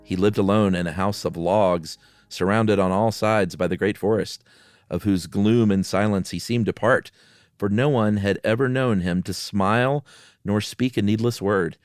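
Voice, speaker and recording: A man's voice: lowish voice, normal speed, dry recording, clean studio sound. A man hosting a podcast, moving between casual chat and dramatic reading of a spooky story. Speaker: podcast host.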